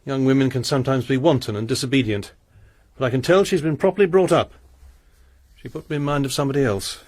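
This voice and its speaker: A man's voice: medium pitch, fast talker, darkish tone, dry recording, lightly acted. Creepy religious lodger played by a man, brooding and formal, dry sound. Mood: suspicious. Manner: formal, sermonizing, hesitant. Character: sinister pious male lodger, troubled and brooding. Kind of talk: radio drama acting.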